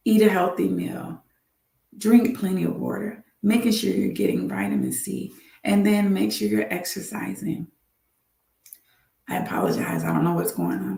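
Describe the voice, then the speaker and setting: A woman's voice: medium pitch, creaky, warm tone, reverberant. A woman doctor hosting a live health talk, earnest and repeating her advice for emphasis.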